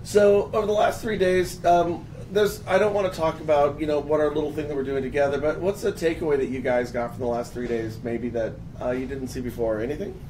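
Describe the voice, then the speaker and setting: A man in his thirties with a gravelly, hoarse voice, hosting a casual panel discussion with restarts and fillers. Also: a little high, steady tone. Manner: casual, halting, lots of restarts. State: hoarse from a long three days. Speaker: host. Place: office room with a panel. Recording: distant mic, noisy.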